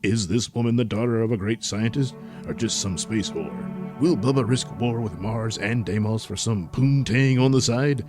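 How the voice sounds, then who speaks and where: A man's voice: fairly low pitch, normal speed, hardly any pauses, over music. A man narrating in a theatrical announcer manner, like a mock adventure serial.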